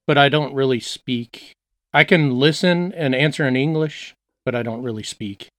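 A man's voice: mid-range voice, moderate pace, fairly bright tone, no room sound, studio quality. A man from the United States chatting as an interview guest, laid-back and joking, acting out quotes. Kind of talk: casual interview chat.

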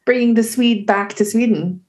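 Woman host asking questions, fairly high voice. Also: steady tone, moderate pace, darkish tone. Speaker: podcast host. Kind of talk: casual chat.

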